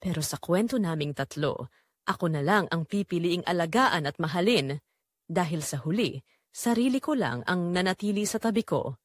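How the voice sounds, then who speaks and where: A woman with a Filipino accent playing a betrayed, resolute daughter, narrating her story in a solemn, deliberate, emotional way. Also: on the low side, animated, no echo, clean studio sound. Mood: bitter, resolute. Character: Clarice, betrayed daughter and wife, wounded, resolute. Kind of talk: first-person dramatic scripted narration.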